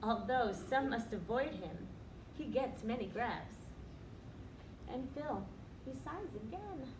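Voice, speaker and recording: A woman reading aloud as a children's storyteller, with expressive, deliberate picture-book phrasing. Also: a little high. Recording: roomy, distant, noisy recording.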